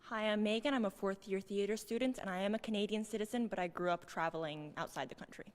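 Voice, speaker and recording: A woman's voice: medium pitch, fast talker, a little hiss or hum. A young woman giving casual, unscripted answers as a student panelist. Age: young adult.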